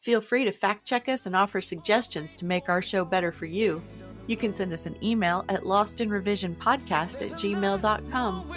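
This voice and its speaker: Music plays behind a woman hosting a podcast. She reads a scripted outro at a medium pitch. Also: moderate pace, fairly bright tone. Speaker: podcast host.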